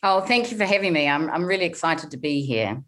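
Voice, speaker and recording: A woman in her forties from New Zealand with a softened Kiwi accent, telling her story candidly in a podcast interview. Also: low voice, lively intonation, a little fast. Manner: candid storytelling, frequent fillers and restarts. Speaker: guest, money coach.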